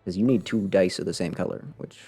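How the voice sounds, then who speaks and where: A man with a fairly low voice commentates casually over his own game stream. He thinks out loud, swinging between muttering and exclaiming, often at his own expense. Game music plays faintly behind him. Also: fast, vocal fry, husky. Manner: thinks aloud, self-deprecating, mutters and exclaims. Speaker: video game livestreamer. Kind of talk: casual live gameplay commentary.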